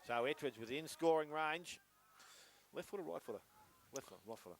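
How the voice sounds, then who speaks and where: A man's voice: fairly high pitch, expressive, a little fast, gravelly. A man with an Australian accent giving live play-by-play commentary on an Australian rules football match. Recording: noisy outdoor sports broadcast.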